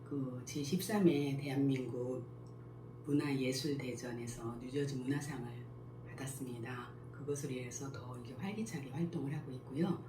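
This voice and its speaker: A woman in her sixties, a school principal and picture book author, answering interview questions in a slow, modest, measured way. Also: extremely low pitch. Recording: echoey room, faint background noise.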